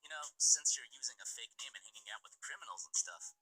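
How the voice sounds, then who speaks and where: A man puts on a smug young male hacker for a visual novel game, husky and half-whispered. The pitch swings widely with a sharp, bright edge as he teases and pries like he knows every secret. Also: expressive, a little fast, soft background music, exaggerated voice, low-quality recording. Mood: sly, impressed. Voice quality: breathy, raspy half-whisper.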